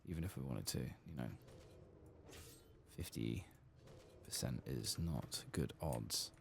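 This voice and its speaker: Male ASMR game host: low-pitched, lilting soft murmur that slips into whispers, faint noise behind. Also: expressive, slow, vocal fry. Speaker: gaming channel host.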